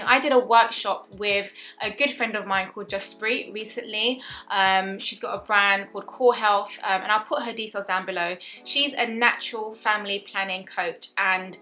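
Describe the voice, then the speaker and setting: A woman's voice: medium pitch, bright, forceful tone. A woman of about thirty chatting earnestly to camera as a vlogger, with many restarts and fillers. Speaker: wellness vlogger. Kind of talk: casual talk to camera.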